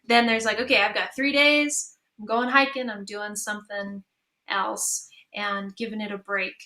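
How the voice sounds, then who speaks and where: A woman's voice: medium pitch, fairly even intonation, moderate pace, sharp tone. A woman chatting casually and informally on a panel as a creative artist. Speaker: musician and audio drama creator on a panel.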